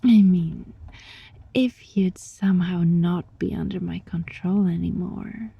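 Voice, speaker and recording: A woman voicing a sly, teasing, controlling yet gentle fox-spirit roommate in an ASMR roleplay, with a breathy voice that often drops into a whisper. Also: on the low side, fairly even intonation, dull audio, heavily acted. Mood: teasing, gloating. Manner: slow, teasing, softly menacing. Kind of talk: ASMR roleplay, scripted monologue addressed to listener.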